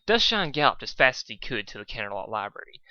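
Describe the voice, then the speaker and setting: A man's voice: mid-range voice, very animated, fast talker, pushed and bright, clear, resonant tone, no room sound, muffled recording. A man, an amateur reader who talks casually and stumbles in a self-deprecating way. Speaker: amateur fan fiction reader. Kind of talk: casual rambling introduction and informal story reading.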